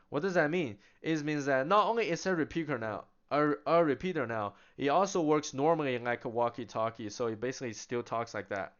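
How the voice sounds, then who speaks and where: A man casually demonstrating radio gear, chatty and animated, laughing now and then as he explains. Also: fairly high pitch, clean tone, dull audio. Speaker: product demonstrator. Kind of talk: casual product demo.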